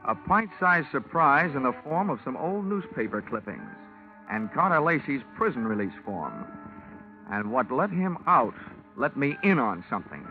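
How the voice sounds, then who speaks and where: A man's voice: medium pitch, close to an everyday voice. An actor playing a wry, hard-boiled insurance investigator who narrates his own case, in 1950s radio drama style, on a muffled old recording. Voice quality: wisecracking, dry. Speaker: detective lead and narrator.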